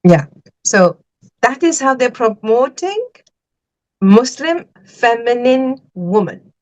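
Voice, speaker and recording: A woman's voice: on the high side, very animated, slow pace, a little mellow, lo-fi sound. Chatty woman hosting a podcast. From Sri Lanka. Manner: chatty, lots of "like" and "y'all", emphatic asides. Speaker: podcast host. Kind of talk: casual podcast chat.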